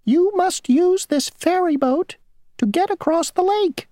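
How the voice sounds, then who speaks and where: A woman voicing a tiny, pompous male mosquito ferryman who talks slowly and insists on rules. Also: very high voice, dark tone, no echo, studio quality, pitched above the performer's own voice. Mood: self-important. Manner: slow, rule-bound, boastful.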